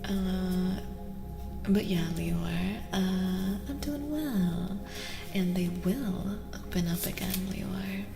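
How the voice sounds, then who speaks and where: A soft-spoken woman, often near a whisper, hosting a live ASMR tarot reading and chatting with viewers in an unhurried way. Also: lowish voice, little pitch movement, creaky. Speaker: streamer host and tarot reader. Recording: reverberant echo with music behind. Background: music.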